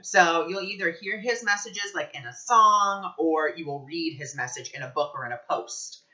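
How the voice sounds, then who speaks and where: A man's voice: fairly high pitch, moderate pace, pushed and bright, highs cut off. A man talking in a casual spiritual vlog as a psychic reader, gushing and enthusiastic.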